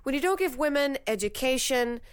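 A woman raised in Saudi Arabia speaks bluntly on a casual panel podcast, swearing freely. Her voice sits high, and the sound is dry and close. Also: lively intonation, a little fast, clear, resonant tone, dry recording. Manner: blunt, profane, laughs easily. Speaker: panel guest.

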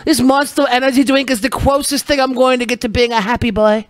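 A man's voice: very high voice, little pitch movement, quick pace, background noise. A man co-hosting a podcast in casual, crude comedic banter, rambling through joking riffs and swearing freely. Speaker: podcast co-host.

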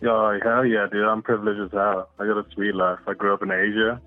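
A man's voice: fairly low pitch, level tone, brisk, no echo, faint music behind. Laid-back man on a tinny phone line. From Singapore. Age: 30s. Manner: laid-back, slangy, laughs easily. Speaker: caller. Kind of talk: casual chat by phone.

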